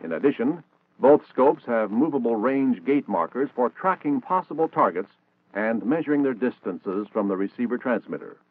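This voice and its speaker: A man's voice: mid-range voice, lively intonation, moderate pace, no room sound. American man, narrow-band 1960s film narration. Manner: formal, precise, instructional. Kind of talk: scripted 1960s military training film narration.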